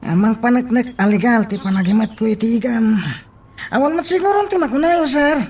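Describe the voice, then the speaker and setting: A man's voice: very high voice, moderate pace, a little mellow. An actor playing a dutiful, respectful male subordinate in an Ilocano radio drama. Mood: respectful, firm.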